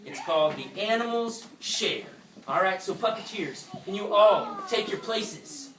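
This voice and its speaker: A woman's voice: mid-range voice, measured pace, background noise. Echoey, distant woman storytelling for children. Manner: animated, folksy, exclamatory. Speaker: puppet show host and storyteller. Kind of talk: live interactive storytelling for children. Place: indoor room with an audience. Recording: echoey room, distant mic, music.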